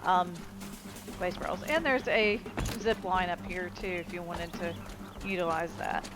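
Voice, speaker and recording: A woman's voice: fairly low pitch, a little slow, very noisy. A woman giving casual, offhand, rambling commentary as she plays a video game. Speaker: video game let's player. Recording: loud game music behind voice. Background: loud game music and effects.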